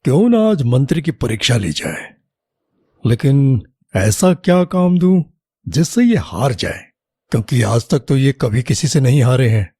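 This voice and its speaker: A commanding, sly king from a children's cartoon fable, acted by a man. His voice is mellow and husky with a very lively swing, recorded dry and close. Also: medium pitch, unhurried, dry recording, full character voice. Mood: scheming, musing. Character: male king, approving yet slyly testing his minister. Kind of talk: animated children's moral story dialogue.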